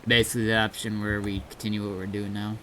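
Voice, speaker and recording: A man chats casually while gaming and roleplaying, his voice fairly low and bright. He rambles, hesitates and repeats himself. The home recording has a little noise. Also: flat delivery, quick pace. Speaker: game streamer, roleplayer. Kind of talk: casual gameplay talk and improvised roleplay.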